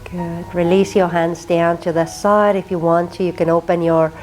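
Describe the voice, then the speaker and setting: Finnish woman, low mellow voice, very unhurried soothing yoga cues, faint music beneath. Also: level tone, low-quality recording. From Finland. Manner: slow, soothing cueing of breath and movement. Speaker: yoga teacher. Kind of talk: guided yin yoga instruction.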